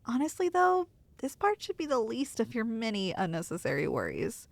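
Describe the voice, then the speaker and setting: A woman's voice: on the high side, huge pitch swings, normal speed, gravelly, no room sound, heavily acted. A woman playing an affectionate, teasing girlfriend who soothes a nervous partner in an intimate ASMR roleplay. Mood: playful. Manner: tender, playful, teasing, reassuring.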